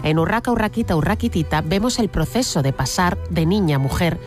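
A radio culture reporter reading a scripted story piece in a measured, storytelling way. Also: mid-range voice, animated, soft background music.

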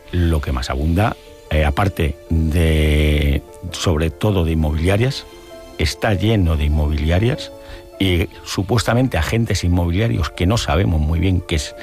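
A man with a fairly low voice and an accent from Spain gives spontaneous testimony. He is emphatic and rephrases as he goes, over faint music. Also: a little mellow. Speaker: neighbourhood association secretary, interviewee.

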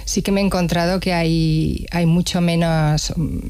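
A woman's voice: fairly low pitch, fairly even intonation, unhurried, darkish tone, smooth, no echo. Spanish woman musician, close dry sound. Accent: Spanish from Spain. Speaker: musician, interview guest. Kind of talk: conversational interview.

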